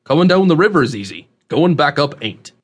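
A man's voice: fairly high pitch, very animated, fairly quick. A man narrating an audiobook, voicing a scruffy, blunt old hermit who talks in a matter-of-fact rustic dialect. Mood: warning.

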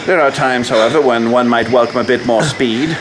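A seasoned male hunter, played by an actor in a radio adventure, gruff and wryly blunt. His mid-pitched voice sits against noisy outdoor sound effects. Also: brisk, lightly acted. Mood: dry, assured. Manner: dry, wry, blunt. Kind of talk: radio adventure drama acting.

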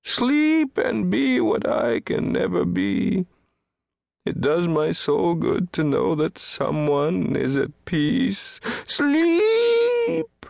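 Storybook outcast creature: very high-pitched, self-pitying, mournful, wildly swooping melodramatic lament. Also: male voice, huge pitch swings, creaky, darkish tone, no echo, exaggerated voice. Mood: sorrowful lament. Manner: theatrical howling and sighing, drawn-out lament. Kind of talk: storybook character voice.

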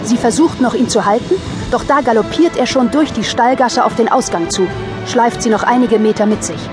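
A woman's voice: fairly high pitch, a little fast, over music. A woman narrating an audio drama in a scripted storytelling style.

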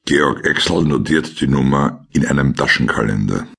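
A man's voice: rumbling low, moderate pace, gravelly, a bit bright, slightly rough. A man narrating a radio play, reading scene directions in a terse, matter-of-fact way.

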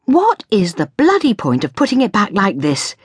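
A woman's voice: lowish voice, wildly swooping pitch, moderate pace, husky, no echo, clean studio sound, pitched above the performer's own voice, full character voice. A woman voicing a frazzled, sweary mother in a storybook, exasperated and fretting over her son, snapping and shrieking. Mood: exasperated, yelling.

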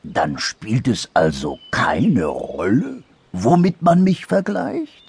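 A man's voice: mid-range voice, huge pitch swings, takes it slowly, darkish tone, a little hiss or hum, voice pushed up, heavily acted. A man voicing a big, easily hurt anteater in a storybook, hesitant and brooding. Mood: disappointed, unsure. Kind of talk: storybook character voice.

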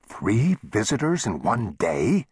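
A man's voice: very animated, normal speed, slightly rough, dry recording, heavily acted. A man voicing a touchy, gloomy and indignant plump storekeeper, complaining in a western audiobook. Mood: marveling. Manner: heated, complaining, raised pitch.

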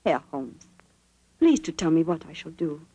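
An actress playing a proud, troubled young Viennese concert pianist with a German accent, formal and earnest in stilted English, in 1940s radio drama. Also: female voice, sits low, sing-song, normal speed, dark tone, slightly rough, no echo, lo-fi sound, heavily acted. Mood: distressed. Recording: vintage 1940s radio broadcast recording.

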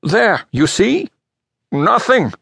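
A man's voice: medium pitch, sing-song, measured pace, no echo. A man voicing a pompous, theatrical old manuscript expert in an audiobook, out of breath and emphatic, rolling his R. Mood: lecturing. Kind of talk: audiobook character voice.

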